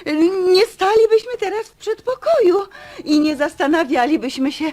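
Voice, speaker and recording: An actress playing a dryly witty, mischievous aunt in a naturalistic radio drama. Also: female voice, very high voice, very animated, normal speed, darkish tone. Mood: dry, ironic.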